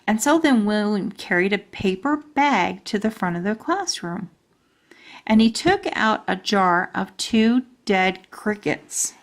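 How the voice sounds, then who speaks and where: A woman reads a children's storybook aloud. Her delivery is slow, with a medium pitch, in the style of a storytime reader. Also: expressive.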